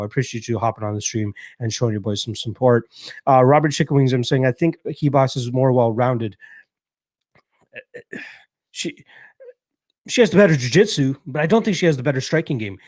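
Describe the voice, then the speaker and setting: A man's voice: medium pitch, darkish tone, dry recording. A young man hosting a live stream, chatting casually, reading out comments and talking with loose, profane, filler-heavy speech. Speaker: podcast host reading live chat.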